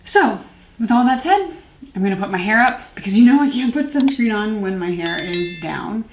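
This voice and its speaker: A woman in her thirties talks casually to camera as a beauty vlogger. Her voice sits at a medium pitch, and her delivery is informal and chatty, with self-deprecating asides. The sound is noticeably roomy, with the echo of an indoor space. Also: lively intonation, moderate pace, a little hiss or hum. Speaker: beauty vlogger, series host. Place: small room at home, indoors.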